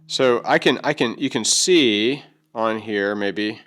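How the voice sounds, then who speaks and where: A man's voice: on the low side, takes it slowly, a bit bright. A middle-aged man giving a casual product overview as a video host, plain-spoken and folksy. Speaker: video host reviewing gear.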